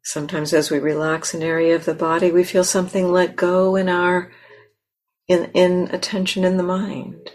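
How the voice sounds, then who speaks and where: A woman meditation teacher leading a guided meditation in a gentle, unhurried manner, often pausing mid-phrase. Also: lowish voice, flat delivery. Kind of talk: guided meditation, slow with long pauses. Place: meditation center.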